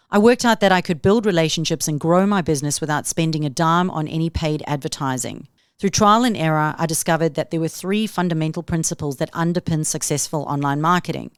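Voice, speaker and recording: A woman's voice: low-pitched, clean tone, no echo, studio quality. A middle-aged woman with a South African accent, hosting a solo podcast in an upbeat, motivational tone. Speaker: podcast host.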